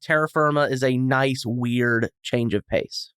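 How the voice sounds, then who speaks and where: A man's voice: medium pitch, unhurried, fairly bright tone, smooth, no room sound, studio quality. A man chatting casually and jokingly as a guest on a podcast.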